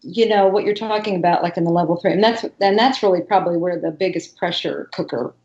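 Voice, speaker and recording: Low-voiced woman host in an echoey room. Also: quick pace, a little mellow, lo-fi sound. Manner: encouraging, laughs often, rambling restarts. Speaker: podcast host. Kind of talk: casual interview chat.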